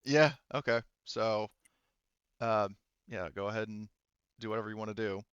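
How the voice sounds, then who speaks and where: A man acting as game master for a casual tabletop roleplaying game, halting and thinking aloud as he explains rulings. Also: lowish voice, a bit bright, clear, resonant tone, no echo, lo-fi sound.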